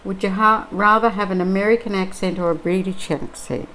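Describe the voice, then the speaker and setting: A middle-aged Australian woman chatting casually and musing aloud, with many pauses and ums, while she crafts at a table indoors. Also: medium pitch, steady tone, a bit bright, low-quality recording. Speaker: crafting vlogger.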